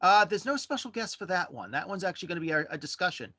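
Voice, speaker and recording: A man chatting casually as a podcast guest, halting and rambling as he corrects himself. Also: sits high, sing-song, sharp tone, breathy edge, no echo. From Worcester, United States. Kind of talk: casual interview chat. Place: virtual studio online call.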